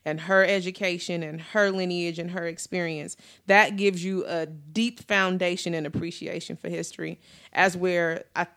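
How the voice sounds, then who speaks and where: Woman co-host, slightly low pitch, earnest and reflective, casual talk. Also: fairly even intonation, normal speed, fairly bright tone. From United States. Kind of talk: casual discussion.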